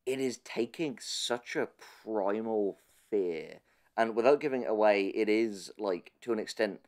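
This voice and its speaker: A man's voice: lowish voice, unhurried, darkish tone. A man in a conversational analytical discussion, speaking thoughtfully with hesitant, stammering starts as a podcast host.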